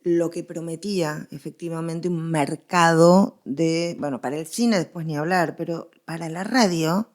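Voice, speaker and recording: A woman with an Argentine accent speaking as an expert guest in a conversational scholarly interview, explaining in an animated way and repeating phrases for emphasis. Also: deep voice, a little slow, few pauses.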